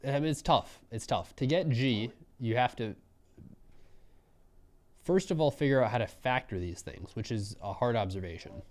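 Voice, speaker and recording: A young man giving relaxed, musing live commentary on a programming contest, often trailing off mid-thought. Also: mid-range voice, normal speed.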